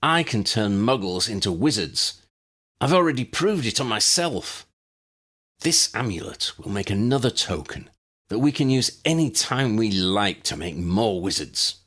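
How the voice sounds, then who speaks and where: A man's voice: on the low side, very animated, a little slow, fairly bright tone, heavily acted. A man voicing an angry, boastful wizard villain in an audiobook, proud and defiant with a thin, weak voice. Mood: grandiose. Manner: proud, defiant, with a dry cough. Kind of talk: audiobook character voice.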